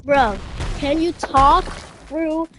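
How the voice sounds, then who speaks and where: A woman's voice: sits high, very slow, sharp tone. A young woman live-streaming, chatting casually with other players in a slangy way and repeating phrases insistently, with music behind her.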